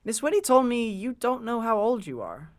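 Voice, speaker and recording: A man's voice: very high voice, very animated, normal speed. A man playing a polite, hesitant sixteen-year-old boy in an audio drama. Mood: curious, earnest. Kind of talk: nostalgic audio drama acting.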